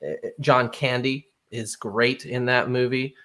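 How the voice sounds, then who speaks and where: A man's voice: medium pitch, fairly even intonation, a little slow, fairly bright tone. A man hosting a podcast, debating films casually with an opinionated, conversational delivery. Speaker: podcast host.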